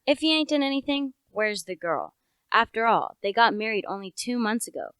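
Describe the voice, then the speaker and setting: Teen girl as clear-voiced, opinionated rustic constable. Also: on the high side, lively intonation, fairly quick, fairly bright tone, dry recording, clean studio sound. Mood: indignant, suspicious. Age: teenager. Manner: folksy, insistent, indignant. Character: opinionated, suspicious rustic village constable named Joe. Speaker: actor in a student radio play.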